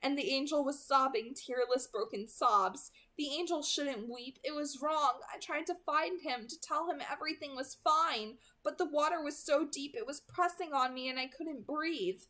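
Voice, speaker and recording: A woman hosting a read-aloud, speaking in an earnest, expressive storytelling way. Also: high voice, moderate pace, few pauses. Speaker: host reading a novel aloud. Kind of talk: dramatic audiobook-style read-aloud with casual introduction.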